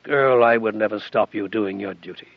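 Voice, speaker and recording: A man narrating puts on a weary colonel from an audiobook, soft and fatherly, a little lower and quieter than his own telling. The recording sounds dry and dull. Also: moderate pace, no echo, close to an everyday voice. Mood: tender. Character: weary male colonel, tender and worn.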